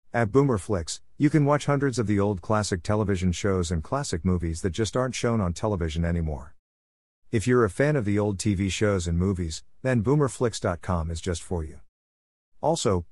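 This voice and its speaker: A man's voice: fairly low pitch, a little mellow, dry recording, studio quality. A man reading a scripted podcast introduction as the host.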